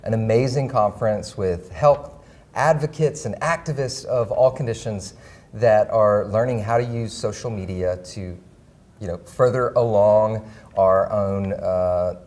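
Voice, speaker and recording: Young male host, upbeat and playful, fairly low voice, conference-room interview. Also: unhurried, darkish tone. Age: young adult. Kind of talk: casual live interview.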